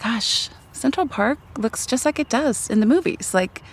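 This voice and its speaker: An actress playing a playful, teasing young woman in a romantic comedy audio drama, giggly and excitable in a conversational way. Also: fairly high pitch, normal speed. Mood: light, chatty.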